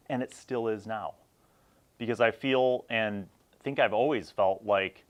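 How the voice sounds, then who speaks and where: A man in his forties hosting a casual, unscripted gaming review, talking reflectively and conversationally in short phrases with pauses. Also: mid-range voice. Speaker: host of a vintage tabletop gaming review series.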